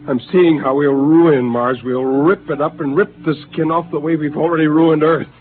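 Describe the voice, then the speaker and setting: A man's voice: on the high side, fairly even intonation, normal speed, a little hiss or hum, lightly acted. An actor playing Spender, an intense, moralizing crewman grieving a dead race, in a 1950s radio drama. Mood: grim. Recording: narrow-band vintage radio broadcast.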